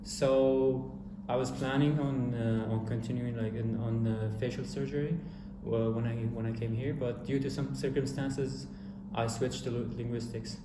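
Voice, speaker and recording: A man's voice: medium pitch, steady tone, moderate pace. A young man from Syria answering interview questions casually, rambling with many fillers and restarts. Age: young adult. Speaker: interviewed student. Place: indoor studio room with a cartoon backdrop. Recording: echoey room with noisy background.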